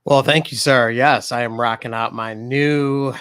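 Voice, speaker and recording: A man's voice: medium pitch, fairly even intonation, a little slow, a bit bright, clean tone, no room sound, clean studio sound. A man co-hosting a casual livestream chat, upbeat and joking as he plugs merch. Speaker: co-host.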